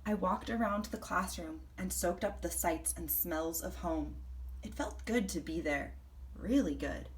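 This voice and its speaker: A woman reading a children's story aloud in first person, expressively and deliberately, acting out the tale. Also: lowish voice, a little hiss or hum, rough recording. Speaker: storybook reader.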